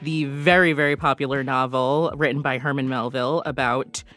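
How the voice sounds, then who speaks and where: A woman's voice: extremely low pitch, steady tone, moderate pace, fairly bright tone. A woman giving event recommendations as a radio guest, talking casually and chattily with emphatic, informal phrasing. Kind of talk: casual conversational radio chat.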